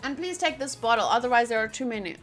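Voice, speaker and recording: Game streamer: woman, fairly high and bright, alternates read-aloud narration with informal chat. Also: animated, fast, soft background music. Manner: informal, chatty asides. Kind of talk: reading game text aloud with casual chat.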